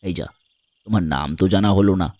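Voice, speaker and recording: A man voicing a tall, mysterious and kindly foreign traveller from space in an audio story, speaking gravely and deliberately with some hesitation. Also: low-pitched, darkish tone, no room sound, highs cut off, voice pushed down, natural voice. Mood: calm, odd.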